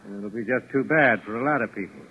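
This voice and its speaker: A man's voice: lowish voice, fairly quick, close to an everyday voice. An actor playing a genial but menacing American gangster boss, talking in falsely friendly tough-guy slang in a 1940s radio drama. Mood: smug, threatening. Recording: tinny band-limited old radio recording, hiss.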